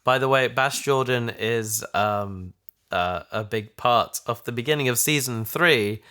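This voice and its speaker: A man with a smooth, clean voice talks casually as a podcast host. His phrasing is halting and hesitant, built up in short pieces. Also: mid-range voice, bright, forceful tone. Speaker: podcast host and show creator. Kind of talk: casual chat.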